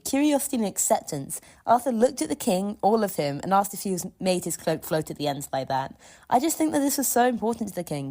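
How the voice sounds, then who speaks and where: A woman's voice: medium pitch, warm tone. A woman delivering prepared fan commentary in an emphatic, enthusiastic way, with casual swearing. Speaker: listener sending a voice submission.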